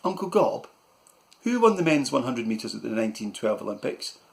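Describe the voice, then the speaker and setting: A man voicing a calm, literal-minded young boy with a matter-of-fact way of talking. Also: medium pitch, normal speed, vocal fry. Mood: cheeky.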